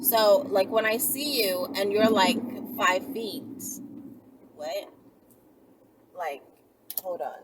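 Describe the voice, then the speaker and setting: A woman vlogging in a casual, chatty storytelling style, blunt and slangy, with animated asides. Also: medium pitch, slow, long pauses, noisy background. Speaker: vlogger and channel host.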